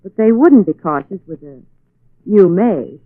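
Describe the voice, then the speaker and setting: A woman's voice: mid-range voice, a little slow, close to an everyday voice. A woman playing a spirited, teasing lady companion to a detective in 1940s radio drama style. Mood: earnest, urgent. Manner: bright-witted, expressive. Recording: thin, muffled 1940s radio recording.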